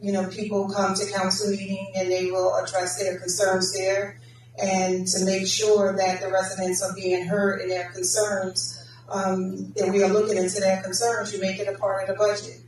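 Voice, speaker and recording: A woman who sits on the city council, answering forum questions in a composed, measured way. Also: medium pitch, completely flat delivery, darkish tone. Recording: echoey hall, distant mic, faint noise.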